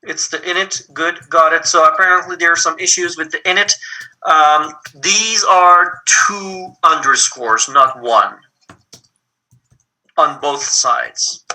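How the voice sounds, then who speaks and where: A man with a Persian accent teaches an online coding lab slowly and patiently. His voice is fairly high for a man and very bright, and he fills his pauses with ums. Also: low-quality recording. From Iran. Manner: patient, explains step by step with many ums. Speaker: lab instructor.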